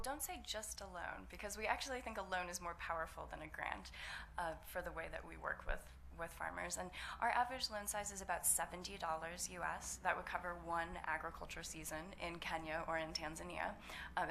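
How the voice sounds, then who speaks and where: A woman with a slightly low, creaky voice speaks as a nonprofit representative at a press conference. She explains in measured, orderly steps, with some faint noise in the room. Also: fairly bright tone.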